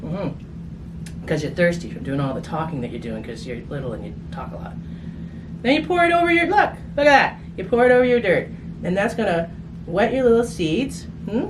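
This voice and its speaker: A woman's voice: mid-range voice, very animated, normal speed. A woman casually hosting a children's craft demonstration, playful and chatty, often thinking aloud. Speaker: children's story reader and craft host. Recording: distant mic, clearly noisy room.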